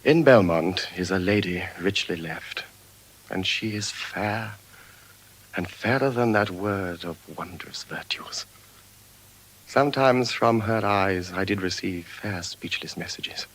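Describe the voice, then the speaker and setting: An actor with a British accent playing an ardent, spendthrift young Venetian gentleman, in eloquent classical Shakespearean stage style. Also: low-pitched, exaggerated voice, rough recording. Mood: ardent, rapturous. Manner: eloquent, measured verse delivery with expressive swells.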